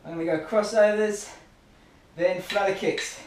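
A high-voiced man in his thirties gives upbeat, encouraging workout cues while exercising, a little out of breath. He is recorded indoors by a camera across the room, so his voice sounds distant. The living room adds an echoey ring. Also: steady tone, unhurried, a little mellow, slight background noise. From Australia. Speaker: running club fitness coach. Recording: roomy, distant camera audio.

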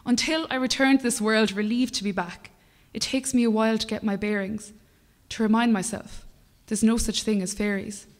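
A woman's voice: a little high, steady tone. Irish woman poet reciting in a reverberant hall. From Ireland. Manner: measured, rhythmic recital, dropping to whispers. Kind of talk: poetry reading. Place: small brick-walled performance venue, indoors. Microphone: stand mic at a lectern.

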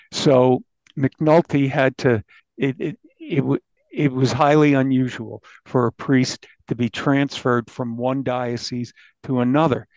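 A man's voice: medium pitch, darkish tone, no room sound. Man in a dry, slightly muffled interview. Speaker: investigative journalist, interviewee. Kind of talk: podcast interview, recounting an investigation.

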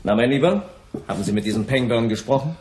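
A man's voice: medium pitch, expressive, fast, darkish tone, breathy edge. An actor playing an inquisitive, paternal male boss of a detective agency in a radio crime play.

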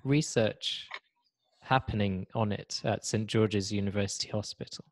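Man, 30s, from Switzerland, podcast host, low voice, halting repeats, video call, dry sound. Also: steady tone, dry recording. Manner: halting, repeats words as he searches. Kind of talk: casual conversation. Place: indoor room. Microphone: video call, desk mic.

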